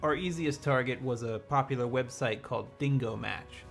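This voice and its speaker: Sketch agent, man, gravelly voice, deadpan reports, faint music under. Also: medium pitch, moderate pace. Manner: matter-of-fact, deadpan. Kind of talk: sketch comedy acting.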